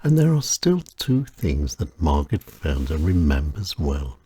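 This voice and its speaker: Low, slow-spoken male storyteller. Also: sing-song, a little hiss or hum. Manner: gentle, measured storytelling with lilting emphasis. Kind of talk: scripted narration of short stories.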